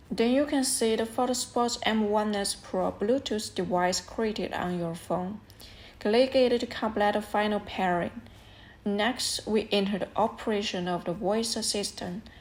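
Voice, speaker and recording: A woman presenting a product review as scripted narration, explaining things step by step in an instructional way. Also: mid-range voice.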